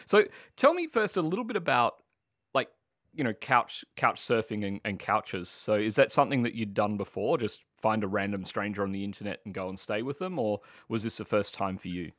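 A man's voice: lowish voice, animated, a little fast, fairly bright tone, no room sound, clean studio sound. A man hosting a podcast, interviewing in a conversational way. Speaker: podcast host.

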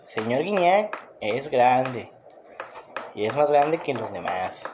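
A man's voice: mid-range voice, sing-song, slow pace. A man with a Mexican accent chatting casually about sports as a podcast co-host, emphatic and opinionated, often repeating himself. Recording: echoey room, noticeable background noise.

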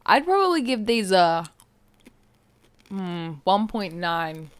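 A woman's voice: mid-range voice, sing-song, slow, smooth. A woman co-hosting a podcast in casual chat, agreeable and given to giggling as she talks. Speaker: podcast co-host.

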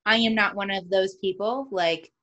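A woman's voice: medium pitch, steady tone, moderate pace, fairly bright tone, muffled recording. A woman with an upstate New York accent, hosting a casual interview with upbeat, chatty questions. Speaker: podcast host.

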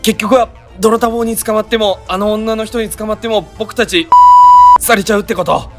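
A man's voice: sits high, fairly even intonation, moderate pace, loud music behind the voice. A man playing a mild, naive, resigned young sightseer in a comedic radio drama, plain-spoken and bemused. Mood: puzzled, uneasy.

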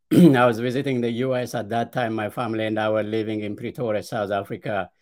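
A middle-aged man with an Ethiopian accent, a podcast guest telling his life story in a hesitant way, with many "uh" pauses and repeated starts. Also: fairly low pitch, level tone, a little mellow. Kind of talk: conversational storytelling.

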